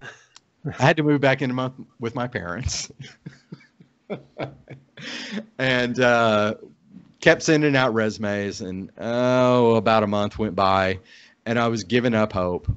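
A man's voice: mid-range voice, slow, pauses often, fairly bright tone, clean tone. A middle-aged American man from Oklahoma telling a casual personal story as a podcast guest on a video call. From Oklahoma, United States. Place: indoor room on a video call. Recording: dull lo-fi video call audio.

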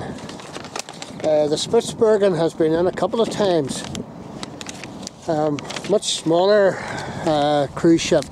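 A middle-aged man with a Northern Irish accent, chatting casually as he films from a windy hilltop, rambling with fillers and correcting himself. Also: on the high side. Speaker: vlogger. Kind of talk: casual walking commentary. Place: outdoors on a windy hilltop viewpoint. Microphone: handheld phone camera. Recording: wind noise on a phone mic outdoors. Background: wind.

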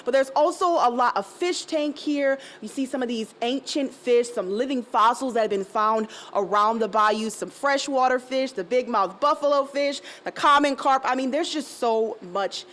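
A woman's voice: a little high, normal speed, hardly any pauses. A young woman reporting live for TV news from inside an aquarium, chatty and upbeat, speaking into a handheld mic. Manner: bubbly, chatty, laughs often. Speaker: field reporter. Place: indoor aquarium exhibit. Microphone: handheld station microphone.

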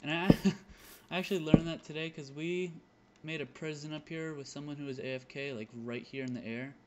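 A man's voice: a little high, steady tone, brisk, slight background noise. A young man giving casual gaming commentary, thinking aloud in a hesitant way and muttering to himself. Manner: hesitant, muttering counts to self. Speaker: gaming video host. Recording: dull lo-fi mic, loud piano music. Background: loud piano background music.

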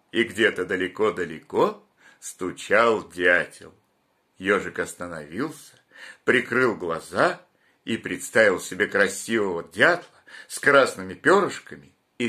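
Slow, expressive man reading a fairy tale. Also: fairly low pitch. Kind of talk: storybook narration. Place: small room at home, indoors.

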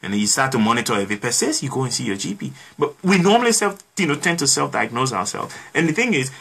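A young man speaks as a guest in a small home studio, his mid-pitched voice bright and husky. He talks through his point with everyday comparisons and builds it with repeated phrases, close to a desk microphone. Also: very animated, fast. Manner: explains with everyday examples, builds points by repetition. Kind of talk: explanatory conversation.

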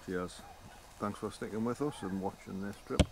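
A low-voiced man talks casually and jokes dryly while camping with friends. He is outdoors, with steady nature noise clear behind him. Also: normal speed. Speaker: motorcycle camping vlogger. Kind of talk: casual vlog chat. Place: outdoor campsite.

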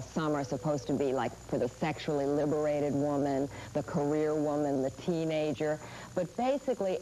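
A woman's voice: deep voice, darkish tone. A woman in her forties giving casual explanatory commentary in a conversational, wry way. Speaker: media critic presenter. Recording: noisy old 1980s videotape.

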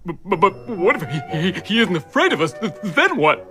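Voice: medium pitch, big pitch swings, brisk, close to an everyday voice. An actor voicing a nervous, dim hyena underling in a cartoon dub, stuttering and hesitant. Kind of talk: animated cartoon dub acting. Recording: music under the voice.

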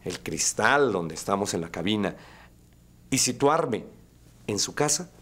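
A man's voice: on the low side, expressive, unhurried, a bit bright. A man in his forties with a Mexican accent, a radio host talking conversationally in an interview. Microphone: lapel mic.

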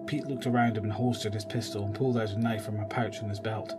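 Low-voiced male horror narrator over music. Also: steady tone, fast. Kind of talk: scripted horror audio drama narration.

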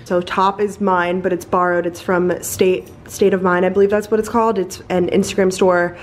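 A woman's voice: lowish voice, flat delivery, moderate pace, roomy sound, a little hiss or hum. A young woman in her twenties chatting upbeat on a handheld camera at home, casual vlog style. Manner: chatty, upbeat, filler-heavy. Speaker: vlogger. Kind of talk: casual family vlog chat. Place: home living room.

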